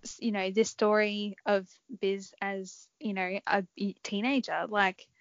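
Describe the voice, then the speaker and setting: Woman, podcast host, chatty, fillers, mid pitch, dry, lo-fi. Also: little pitch movement, unhurried, no room sound. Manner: chatty, laughing, filler-heavy. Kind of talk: casual interview chat.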